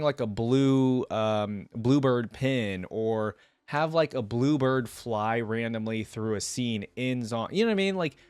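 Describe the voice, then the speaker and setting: A man's voice: medium pitch, dry recording. Young man, dry close sound. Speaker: reaction video host. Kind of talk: casual chat, rambling commentary.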